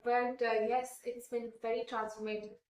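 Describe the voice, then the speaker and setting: A woman's voice: a little high, little pitch movement, moderate pace, a little mellow, recorded from a distance, a little hiss or hum. Earnest young Indian woman, echoey room. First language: Hindi. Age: 20s. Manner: earnest, hesitant with frequent fillers and restarts. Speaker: interviewee. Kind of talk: casual interview answers.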